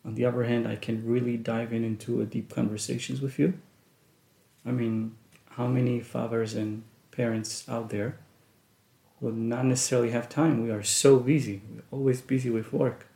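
Earnest middle-aged man, gravelly and warm. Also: mid-range voice, flat delivery, normal speed. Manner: earnest, reflective. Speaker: podcast co-host and father. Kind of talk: casual family chat. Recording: echoey room.

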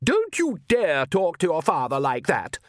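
A man's voice: very high-pitched, very animated, normal speed, dry recording, clean studio sound, voice pushed up. A man voicing an aristocratic female vampire countess and mother in an audiobook, haughty and cutting. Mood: outraged.

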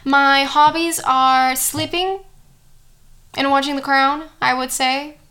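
A woman's voice: high voice, fairly even intonation, slow pace, sharp tone. A woman in her twenties co-hosting a casual home podcast, giggling, swearing and rambling as she chats into a desk microphone. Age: 20s. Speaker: podcast co-host. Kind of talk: casual chat. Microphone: single desk microphone.

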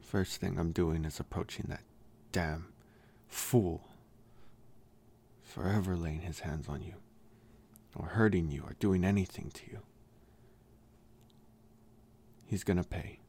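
A young man playing a protective bully with a secretly tender side in an intimate ASMR roleplay, speaking softly and haltingly with gruff care. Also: low-pitched, steady tone, full character voice. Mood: angry, protective. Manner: halting, soft-spoken, gruffly caring.

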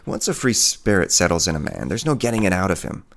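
A man voicing a proud, indifferent peasant hunter in a storybook, gruff, dismissive and boastful. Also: sits low, sing-song, quick pace, slight background noise. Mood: proud, impatient.

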